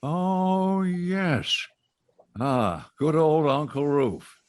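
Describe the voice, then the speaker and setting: An actor playing a polite, awkward boss in a radio comedy, hesitant and courteous with a gravelly voice. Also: male voice, fairly high pitch, lively intonation, drawn-out words, slight background noise. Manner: hesitant, courteous, hemming and hawing. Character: polite, awkward middle-aged male boss as guest. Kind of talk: old-time radio comedy acting.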